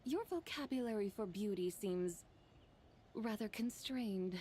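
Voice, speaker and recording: A woman's voice: medium pitch, expressive, mellow, a little hiss or hum, natural voice. Woman playing serene, formal immortal spirit. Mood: composed, curious. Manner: measured, formal, composed. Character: serene, formal female horse adeptus, wise immortal spirit. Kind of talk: video game voice acting.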